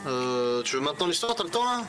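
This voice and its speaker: A man's voice: on the high side, sing-song, music in the background. A man playing a caring, busy relative in a game roleplay, talking casually with some hesitation. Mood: concerned, informal. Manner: casual, hesitant, quick bursts.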